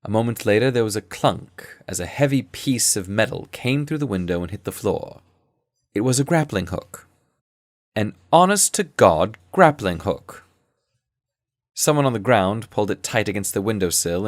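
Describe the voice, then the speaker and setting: A man performs an earnest sleuth, shaken by what he has seen, recounting his adventure in audio drama. The voice sits a little low and the phrasing is literary and measured. The sound is close and dry, with little room to it. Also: animated, no room sound, natural voice. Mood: grave, reflective. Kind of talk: scripted first-person audio drama narration.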